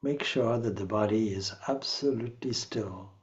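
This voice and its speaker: A man leading a guided meditation as a spiritual teacher, speaking calmly and in a measured way with long pauses. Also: on the low side, lo-fi sound.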